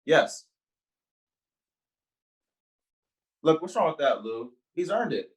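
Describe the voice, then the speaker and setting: A performer playing an emphatic straight man from a 1940s radio comedy. He is a matter-of-fact ball club coach who answers briskly and insists on every point. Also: mid-range voice, sing-song, lightly acted. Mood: emphatic, insistent.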